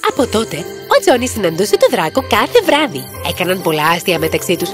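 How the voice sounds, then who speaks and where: A woman's voice: mid-range voice, sing-song, over music. A woman narrator reading a scripted children's fairy tale.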